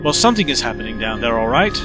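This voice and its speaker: A man playing a brisk, cautious time-travelling investigator in an audio drama, crisp and authoritative. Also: mid-range voice, big pitch swings, natural voice. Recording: music bed under the dialogue.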